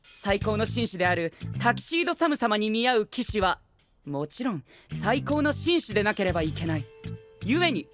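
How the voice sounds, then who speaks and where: Pompous, very animated young gentleman knight. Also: sits high, normal speed. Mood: proud, formal. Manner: formal, pompous speech peppered with English exclamations. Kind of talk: Japanese anime audio drama acting. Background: background music.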